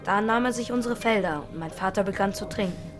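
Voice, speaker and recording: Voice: mid-range voice, brisk, close to an everyday voice. An actor in a children's radio play voicing a tough street kid, matter-of-fact, wry and teasing. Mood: matter-of-fact, bitter. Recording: studio radio play with faint music.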